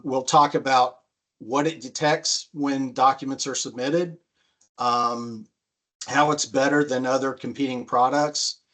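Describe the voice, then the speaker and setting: A man hosting a business webinar, introducing the presenters in a brisk, friendly way with frequent "um" fillers. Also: medium pitch, fairly bright tone. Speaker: webinar host.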